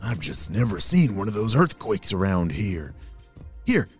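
A man voicing a calm, kindly grandfather in a children's story. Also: on the low side, measured pace, faint music behind.

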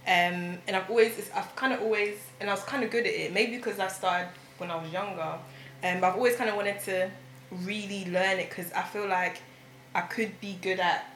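A woman from Ghana in casual conversation, explaining in a relaxed way with fillers and hedges. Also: mid-range voice, brisk, roomy sound, slight background noise. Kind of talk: casual chat.

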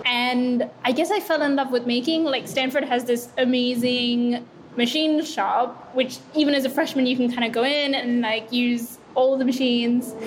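A woman's voice: on the high side, slight background noise. A young woman from Sri Lanka, a startup founder, talking casually and reflectively in an interview with many "like" fillers. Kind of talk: interview conversation.

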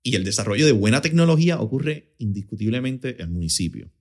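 A man's voice: mid-range voice, very animated, fast. A man with a Puerto Rican Spanish accent, an accelerator director answering interview questions in an explanatory way, mixing in English business terms. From Puerto Rico. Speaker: startup accelerator director, guest.

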